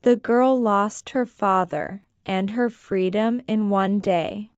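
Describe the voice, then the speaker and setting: A woman language teacher slowly repeating scripted lines for shadowing practice, enunciating each one with care. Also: medium pitch, muffled recording. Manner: careful, deliberate enunciation.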